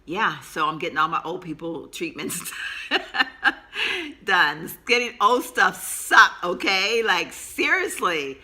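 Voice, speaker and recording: Woman in her 50s, very bright tone, rambling chatty vlogger with a cold coming on. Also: lowish voice, big pitch swings, slow. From Texas, USA. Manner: rambling, chatty, laughs often. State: coming down with a cold, sore throat. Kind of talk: casual chatty vlog. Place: sitting at home.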